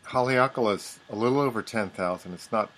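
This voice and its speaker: A man's voice: fairly low pitch, brisk, a bit bright. A man hosting a casual talk show, introducing the episode and prompting his guest with brief, friendly questions. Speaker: podcast host. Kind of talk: casual conversation.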